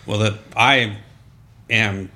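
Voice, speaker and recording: A man, a military veteran, talking in casual chat with a stern, deep voice whose tone stays the same even when he is happy. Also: very slow. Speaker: Marine veteran guest.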